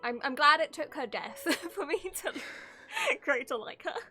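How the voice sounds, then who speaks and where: A woman's voice: sits high, very animated, unhurried, bright, forceful tone, soft background music. A woman streamer giving casual live reaction commentary to a video game, chatty, rambling and giggly.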